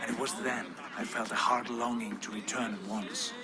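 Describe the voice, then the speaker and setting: A man's voice: mid-range voice, fairly even intonation, normal speed, a loud crowd, lightly acted. An actor playing a boisterous, grandiose Viking warrior in a video game, declaiming heartily like a man home from long travels. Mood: earnest, proud. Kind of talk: video game cutscene acting.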